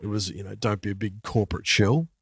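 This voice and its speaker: A man in his forties chatting casually as a podcast host, in a halting way that repeats words as he thinks. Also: on the low side, fairly even intonation, slightly rough, no room sound, studio quality. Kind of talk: casual chat about music and culture.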